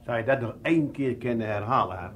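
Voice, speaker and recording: A man's voice: mid-range voice, fast talker, lightly acted. A man playing a wry, easygoing adult son in a comic radio play, dry and wisecracking. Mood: mocking.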